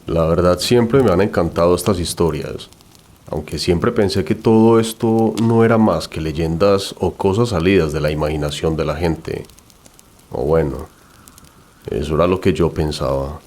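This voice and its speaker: A man's voice: low-pitched, moderate pace, a little mellow. A man narrating a scripted first-person horror story.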